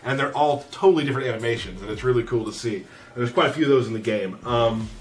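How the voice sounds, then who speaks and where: A man hosting a casual live question-and-answer chat in an easygoing, joking, deadpan way. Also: mid-range voice, fast talker, far from the mic. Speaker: livestream host from a game studio. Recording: faint background noise.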